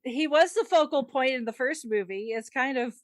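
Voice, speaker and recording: A woman co-hosting a podcast in casual panel chat, animated and opinionated. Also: high-pitched, normal speed, no room sound, clean studio sound. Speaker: podcast co-host.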